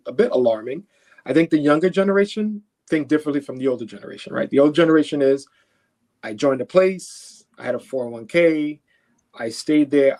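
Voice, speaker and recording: A man's voice: mid-range voice, darkish tone. Conversational man from Haiti, emphatic explainer. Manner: explains through imagined first-person quotes; emphatic, often checking with "right?". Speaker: financial expert guest. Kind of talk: conversational interview answers.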